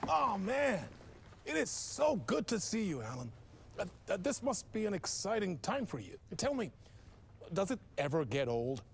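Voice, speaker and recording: An effusive late-night TV host for a video game. His delivery swings widely with showy exaggeration, and there is some gravel in his high voice. Faint noise sits behind him. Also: very animated, normal speed, heavily acted. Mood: gushing welcome. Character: flamboyant, gushing male TV talk show host. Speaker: talk show host. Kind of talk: theatrical late-night talk show interview.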